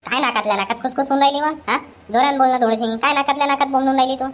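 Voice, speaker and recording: A woman's voice: high voice, steady tone, close to an everyday voice. A woman voicing a nagging old mother-in-law. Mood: grumbling. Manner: rapid scolding rant. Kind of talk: cartoon comedy voice acting.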